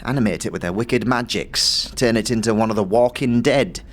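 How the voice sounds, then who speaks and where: An actress playing a timid, worried middle-aged working-class wife in an audio drama, speaking haltingly and repeating her words. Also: rumbling low. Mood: fearful, helpless.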